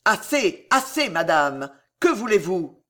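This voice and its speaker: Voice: medium pitch, big pitch swings, slow pace, fairly bright tone. A narrator voicing an anguished, unstable young king in a breathless, agitated, exclamatory manner. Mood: indignant.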